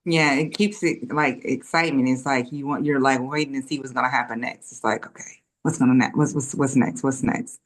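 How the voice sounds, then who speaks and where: A woman speaking casually in a group discussion, animated and repeating phrases. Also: very deep voice, brisk, hardly any pauses, low-quality recording. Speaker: actor at a table read.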